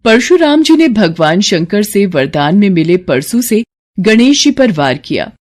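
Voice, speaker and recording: Very animated female storyteller. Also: medium pitch, unhurried, slight background noise. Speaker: narrator. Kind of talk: scripted devotional storytelling.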